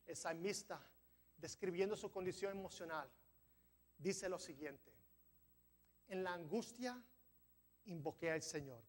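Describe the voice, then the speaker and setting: A high-pitched man delivering a church sermon. He is not a native speaker, sometimes trips over a word, and pushes his voice up toward emphatic peaks as he preaches. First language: not Spanish. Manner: preaching with rising emphasis, some stumbles over words. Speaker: pastor. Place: church sanctuary.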